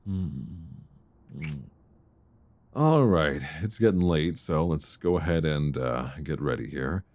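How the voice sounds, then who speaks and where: A man playing an affectionate, bookish boyfriend in an intimate ASMR roleplay, speaking softly, tenderly and soothingly close to a binaural microphone. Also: very deep voice, expressive, full character voice. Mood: cozy, sleepy. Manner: soft, tender, unhurried, soothing.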